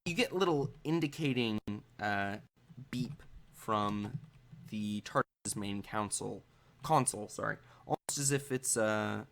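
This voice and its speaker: A man's voice: lowish voice, a little slow, creaky, a bit bright. A man running a tabletop role-playing game, improvising scene descriptions in a casual, hesitant, explanatory way. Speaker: game master.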